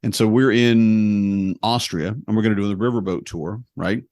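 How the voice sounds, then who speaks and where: A man tells a story in relaxed conversation, lively and poking fun at himself. His voice sits on the low side. The sound is dry and close, with no room echo. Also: normal speed. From United States. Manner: animated, self-deprecating, humorous storyteller.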